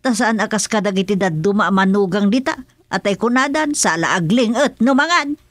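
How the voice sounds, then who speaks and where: A woman's voice: medium pitch, moderate pace, soft background music, lightly acted. A woman playing a blunt, frank adviser who chides in a comedic radio drama. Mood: chiding.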